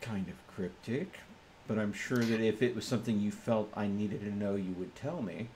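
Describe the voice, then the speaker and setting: A man's voice: fairly low pitch, normal speed, darkish tone, slight background noise. A man playing a tabletop roleplaying game on a stream, talking casually in character and bantering with the group.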